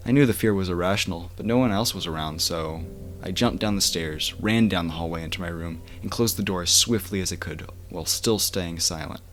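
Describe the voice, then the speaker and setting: A man's voice: low voice, a bit bright, slight background noise. A man reading a first-person horror story aloud as bedtime narration, like an amateur audiobook with the occasional stumble. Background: music.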